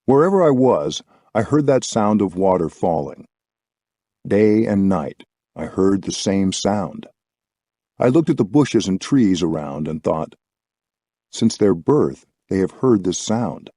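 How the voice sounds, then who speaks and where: Guy narrating a reflective audiobook, lowish voice, really dry close sound, measured delivery. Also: lively intonation, warm tone, dry recording. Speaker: audiobook reader.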